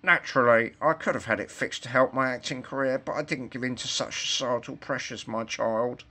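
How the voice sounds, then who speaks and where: A man's voice: medium pitch, fairly quick, bright, forceful tone. A man voicing a sly, easily offended storybook wolf with a coaxing, preachy way of talking. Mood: wounded, haughty.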